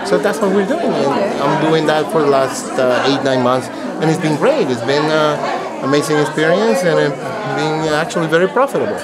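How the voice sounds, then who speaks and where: A man being interviewed casually on a red carpet, speaking effusively and haltingly with repeated words. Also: a little high. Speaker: interview guest, real estate investor. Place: red carpet at a movie premiere, indoors. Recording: loud crowd chatter in large public hall.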